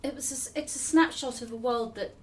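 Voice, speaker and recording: A woman's voice: a little high, steady tone, brisk. A woman interviewer talking conversationally in a literary interview. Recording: distant mic with clear background noise.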